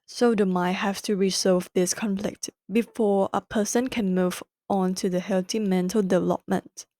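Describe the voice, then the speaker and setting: A woman's voice: mid-range voice, fairly even intonation, normal speed, warm tone, no room sound, studio quality. A young woman with a Thai accent hosting a solo podcast, explaining a book carefully in non-native English and correcting herself as she goes. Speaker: podcast host.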